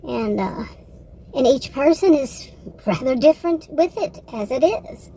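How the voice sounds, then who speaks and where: A woman with a Texan accent, rambling casually in a personal monologue, halting often, circling back and correcting herself. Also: high-pitched, expressive, mellow, slight background noise, low-quality recording. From Texas, United States. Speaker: vlogger.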